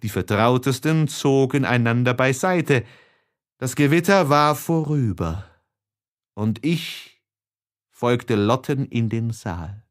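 Langsam lesender männlicher Hörbucherzähler. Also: male voice, medium pitch, animated, takes it slowly. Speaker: audiobook narrator. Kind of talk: literary audiobook narration.

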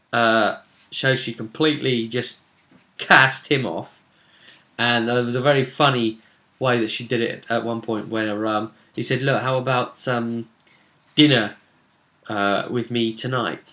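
A man's voice: medium pitch, fairly bright tone. A man in his twenties casually retelling a game session like a story, acting out bits of dialogue, recorded in a room at home. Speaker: vlogger recapping a role-play game session. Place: small room at home, indoors.

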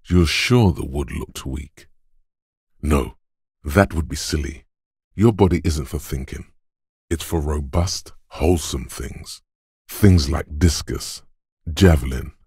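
Very deep, raspy macho muscle voice. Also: male voice, a little mellow, no echo, studio quality, full character voice. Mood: stern, fatherly. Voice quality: gravelly, husky bass. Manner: blunt, domineering gym-coach goading mixed with slow literary narration. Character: gruff, macho inner voice of brute physical strength. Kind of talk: video game voice acting, scripted narration.

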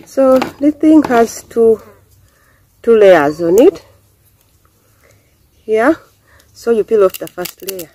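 A woman's voice: a little high, big pitch swings, slow pace, pauses often, dark tone, breathy edge. A young Ugandan woman with a Ugandan accent explains each step plainly as she cooks over an outdoor wood fire, recorded by a camera on the ground. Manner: explains each step plainly, talking to viewers while working. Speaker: village cooking vlogger. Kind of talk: casual cooking demonstration. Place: outdoors by a wood fire among banana plants. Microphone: camera on the ground, distance changes as she moves. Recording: noisy outdoor camera audio.

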